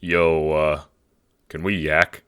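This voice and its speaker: A man voicing a laid-back young dude in an audio drama, slangy and deadpan with hesitant pauses, masking dread. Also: extremely low pitch, heavy background noise. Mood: anxious, casual.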